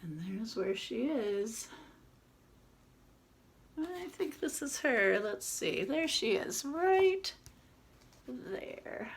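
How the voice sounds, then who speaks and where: A woman's voice: a little high, very animated, extremely slow, pauses often. A woman reading a picture book aloud expressively, with informal asides as she points out the illustrations.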